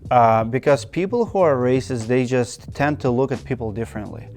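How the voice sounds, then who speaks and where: A man's voice: mid-range voice, expressive, moderate pace, darkish tone. A man from Russia with a Russian accent, hosting his channel and giving earnest, direct advice to camera point by point. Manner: earnest, direct, list-by-list advice with emphatic repetition. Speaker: channel host.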